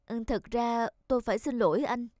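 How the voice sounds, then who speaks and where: A woman voicing a gullible, soft-hearted young woman in a cartoon, meek and apologetic. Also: a little high, fairly quick, dry recording, clean studio sound, lightly acted. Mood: sheepish. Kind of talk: animated cartoon dubbing.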